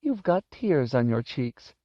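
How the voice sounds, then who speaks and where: Guy doing a sweet sad old lady housekeeper for an audiobook, voice pitched up, gentle and fussy, bit muffled recording. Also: very animated, a little slow, dry recording. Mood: tender. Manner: soft-spoken, gentle. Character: kindly old woman housekeeper, gentle, sorrowful, fussing. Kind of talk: audiobook character voice.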